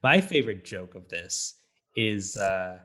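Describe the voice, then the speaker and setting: A man's voice: sits low, lively intonation, measured pace, pushed and bright. A man co-hosting a movie review podcast, talking in a casual, opinionated way. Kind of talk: casual film discussion.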